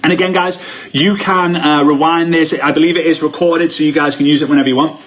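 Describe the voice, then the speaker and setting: A man from Liverpool with the local accent and a fairly high voice coaches an online audience. He is excitable and emphatic, hammering home phrases by repeating them in a motivational style. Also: quick pace. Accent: Liverpool English. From Liverpool, United Kingdom. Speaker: network marketing coach. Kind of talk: motivational live online training talk.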